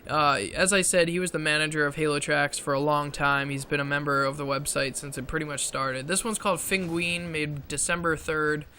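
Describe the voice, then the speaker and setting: Man, bright and forceful tone, somewhat high, casual game commentary, halting with fillers, strained voice. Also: level tone, a little hiss or hum. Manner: halting, with many fillers and frequent throat clearing. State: voice strained from long talking. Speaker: gaming video creator. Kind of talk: casual commentary over gameplay footage.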